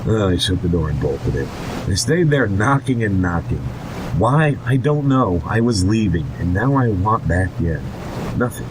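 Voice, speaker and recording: A man's voice: animated, normal speed. A man co-hosting a podcast in a foul-mouthed, sardonic way, moving between casual commentary and mocking readings of a horror story. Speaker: podcast co-host. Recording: rumbling noisy recording.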